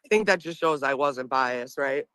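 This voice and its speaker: A woman with a strong Boston accent hosts a casual livestream from her phone outdoors. Her deep voice still comes across bright and crisp, with a dry, close sound. She rambles cheerfully and laughs often. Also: normal speed, no room sound. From Boston area. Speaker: livestream host.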